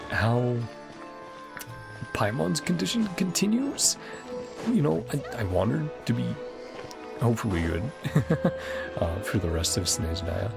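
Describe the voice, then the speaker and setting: Male streamer, low pitch, creaky fry, casual and enthusiastic rambling, music clearly behind. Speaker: game streamer, host. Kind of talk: casual livestream commentary wrapping up a game session. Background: game music.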